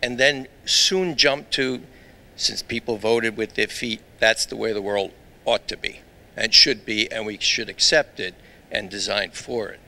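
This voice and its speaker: A man's voice: fairly low pitch, steady tone, a little slow, pushed and bright. A man with a Brooklyn accent, an academic in the audience, who puts a pointed and wry challenge to the speaker. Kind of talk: challenging question from the floor.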